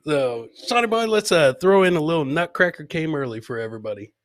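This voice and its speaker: A man's voice: fairly high pitch, animated, fairly quick. A man hosting a comedy podcast, giving joking commentary on clips, repeating his phrases for effect and mimicking the people he talks about. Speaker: host of a live comedy podcast.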